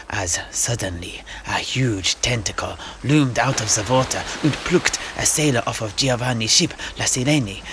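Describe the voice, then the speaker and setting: A man playing a genial old-world seafarer who recounts his grandfather's voyages in measured storyteller's phrasing. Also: medium pitch, noisy background, close to an everyday voice. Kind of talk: in-character fantasy storytelling. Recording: music playing under the voice.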